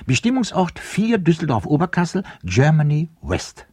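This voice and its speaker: An actor playing an officious clerk who announces addresses and message headers in a clipped, bureaucratic way in a radio play. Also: male voice, fairly high pitch, huge pitch swings, normal speed, darkish tone, breathy edge, dry recording, studio quality, heavily acted.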